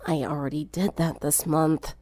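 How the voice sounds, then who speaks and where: A woman puts on a sick, worn-out teenage girl for a story reading. The voice is croaky and gravelly, quieter and a little lowered, with weak, groggy phrasing, recorded dry. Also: steady tone, normal speed, no room sound, natural voice. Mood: weak, weary. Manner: weak, groaning, low-energy.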